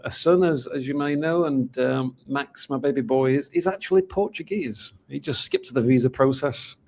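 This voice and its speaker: A man's voice: medium pitch, gravelly, darkish tone. A man from England with a Manchester accent, answering interview questions in a chatty way with lots of fillers and restarts. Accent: Mancunian English. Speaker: golden visa specialist.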